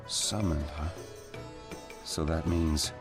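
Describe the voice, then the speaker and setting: An actor playing a mild, easygoing ninja in a conversational video game dub. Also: male voice, very deep voice, fairly even intonation, takes it slowly, full character voice. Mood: curious. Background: game music.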